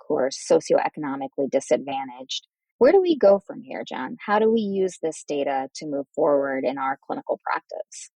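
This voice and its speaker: Woman podcast host, deep-ish low voice, dry close sound, formal questions to medical guests. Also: a little mellow, dry recording, rough recording. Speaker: host, physician interviewer.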